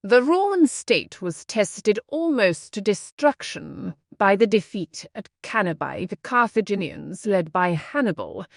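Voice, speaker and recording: A woman's voice: mid-range voice, very animated, measured pace, creaky, few pauses, dry recording, clean studio sound. A woman reading a practice passage aloud from a script, as a model reader for an English test.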